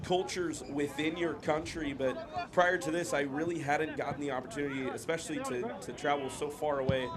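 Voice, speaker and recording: A young American man doing brisk, chatty play-by-play commentary on a student football match. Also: on the high side, fairly even intonation. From United States. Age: young adult. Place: outdoor football ground. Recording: live outdoor broadcast with loud crowd. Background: loud crowd noise.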